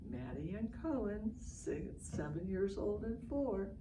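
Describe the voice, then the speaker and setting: A woman's voice: medium pitch, very animated, unhurried. An older American woman giving an informal, instructive educational talk to families, with emphatic storytelling. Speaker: literacy educator hosting an online session. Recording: echoey, distant mic, noisy online stream.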